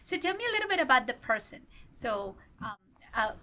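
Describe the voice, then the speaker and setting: A woman's voice: sits high, sing-song, brisk, sharp tone. A woman hosting a casual interview show, friendly and chatty. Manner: friendly, chatty, laughs often. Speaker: show host. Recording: slightly dull lo-fi sound.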